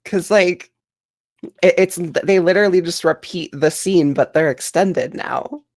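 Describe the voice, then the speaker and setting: Unhurried, low-key woman chatting on a podcast. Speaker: podcast panelist. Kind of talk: casual group podcast chat.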